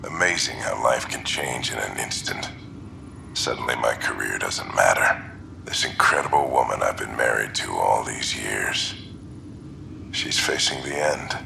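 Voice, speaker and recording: A man's voice: lively intonation, unhurried, noisy background, heavily acted. An actor playing a weary middle-aged man reflecting somberly in a private log in a video game. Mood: somber, resolute. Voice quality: gravelly, very low. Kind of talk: acted video game monologue, personal log.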